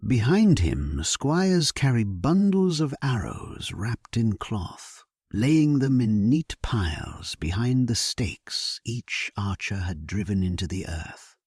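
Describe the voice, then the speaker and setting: A man plays a grave warrior king telling his own story for a sleep audio drama. The voice is very low and husky, with breathy edges. He speaks slowly and solemnly, with dramatic rises and falls and steady resolve. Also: no echo, clean studio sound, full character voice. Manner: solemn, measured, dramatic delivery. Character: grave, resolute English king at war, narrating his memoir. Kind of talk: scripted first-person sleep-story narration.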